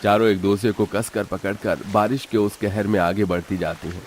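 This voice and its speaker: A man's voice: on the low side, steady tone, moderate pace, darkish tone. A man narrating a scripted, dramatic story.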